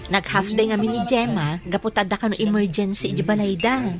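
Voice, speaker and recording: An actress playing an attentive, inquisitive coworker in a radio drama, with a Filipino accent. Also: female voice, medium pitch, fairly quick, loud music behind the voice, close to an everyday voice. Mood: concerned.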